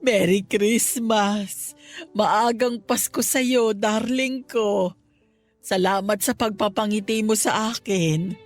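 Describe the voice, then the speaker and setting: A woman's voice: mid-range voice, slow pace, close to an everyday voice. A woman playing a thrifty, affectionate wife and mother who banters wryly in a radio drama. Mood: affectionate, playful. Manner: wry, bantering. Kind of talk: Tagalog radio drama acting. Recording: music behind the voice.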